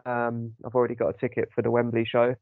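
Gravelly man hosting a podcast. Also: medium pitch, completely flat delivery, quick pace, dry recording, narrow, tinny audio. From United Kingdom. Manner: rambling, many ums. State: sore throat. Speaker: podcast host. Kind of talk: casual chat.

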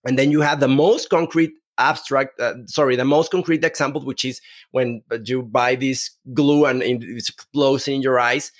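Mexican man, guest, halting and self-correcting, mid pitch. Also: steady tone. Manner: halting, many restarts, apologetic. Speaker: podcast guest. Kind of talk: conversational interview.

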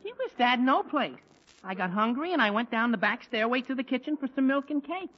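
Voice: high-pitched, lively intonation, fairly quick. An actor playing a cheerful, innocent teenage boy with boyish wide-eyed exclamations, in 1940s radio acting. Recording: dull lo-fi 1940s radio recording with faint noise.

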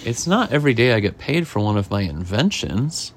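A man's voice: mid-range voice, sing-song, a little fast, breathy edge. A man voicing a cheerful, polite, chatty woman diner in a storybook. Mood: pleased.

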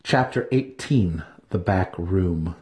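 A man's voice: low-pitched, animated, takes it slowly, a little mellow. A man giving a dramatic reading of fantasy fiction as an audiobook narrator. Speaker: audiobook reader.

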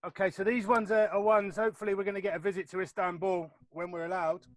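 Guy, highish voice, chatty and self-mocking, quizzing a guest. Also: flat delivery, fast, a little hiss or hum. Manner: jokey, self-mocking, laughs often. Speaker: podcast co-host. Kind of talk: casual chat, quizzing a guest.

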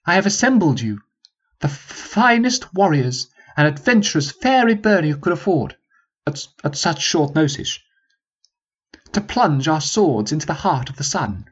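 Guy putting on a blustery stammering sergeant, way higher than his normal voice, bit husky, dull lo-fi sound. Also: sing-song, unhurried, full character voice. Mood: rousing, pompous. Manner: stammering, grandiose speechmaking. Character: pompous blustering male army sergeant, middle-aged.

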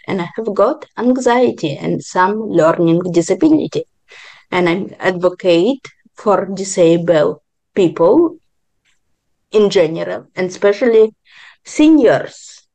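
Woman, podcast guest, from Israel, slightly low pitch, slow halting delivery, non-native phrasing. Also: darkish tone. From born in Ukraine, grew up in Israel. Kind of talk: personal interview answers.

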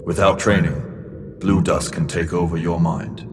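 An actor playing a grave, commanding mentor in a sci-fi audio drama, terse and solemn. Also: male voice, low-pitched, flat delivery, a little slow, heavy background noise. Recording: reverberant, with music underneath.

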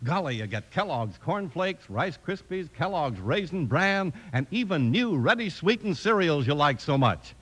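A man reading a 1950s radio advertisement as a folksy cowboy-style sales pitch. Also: very animated, moderate pace, few pauses, a bit bright, husky. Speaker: commercial announcer.

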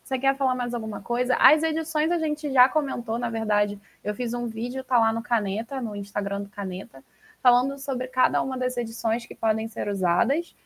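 High-voiced Brazilian woman hosting a podcast. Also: a little fast, vocal fry. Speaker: podcast host. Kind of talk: casual chat about books.

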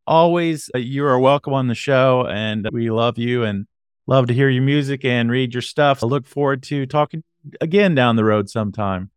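Male host for a relaxed interview show, crisp voice, dry close recording. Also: medium pitch, normal speed, no room sound. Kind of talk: casual interview hosting.

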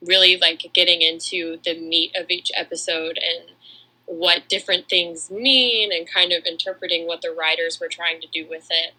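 A woman's voice: on the low side, fairly even intonation, moderate pace, sharp tone, low-quality recording. A woman from Atlanta in the United States chatting casually and a little self-deprecatingly as a podcast co-host. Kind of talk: casual chat.

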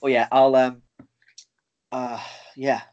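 A man's voice: medium pitch, flat delivery, slow pace, highs cut off. A young man with a Northern English accent hosting a podcast, upbeat and friendly, laughing easily as he chats. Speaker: podcast host. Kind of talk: casual conversation.

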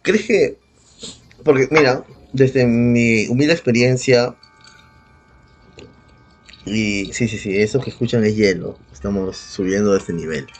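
A man's voice: medium pitch, unhurried, pauses often, slightly rough. A man hosting a podcast in casual, humorous chat, with an irreverent, profane way of talking. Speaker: podcast host.

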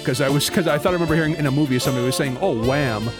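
A man's voice: fairly high pitch, very fast, over loud music. A man co-hosting a podcast, talking in a laid-back, wry casual chat. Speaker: podcast co-host.

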